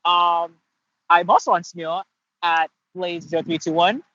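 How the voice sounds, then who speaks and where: Energetic upbeat man, high voice, bright tone, outdoors, dull lo-fi sound. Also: moderate pace, dry recording. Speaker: voice actor. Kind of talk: casual podcast chat.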